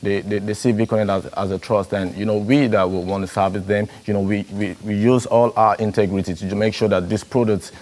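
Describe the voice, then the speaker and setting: A young business owner speaks in a very low, mellow voice. His talk is relaxed and full of "you know", recorded on a lapel mic in a TV studio. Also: fairly quick, few pauses. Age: young adult.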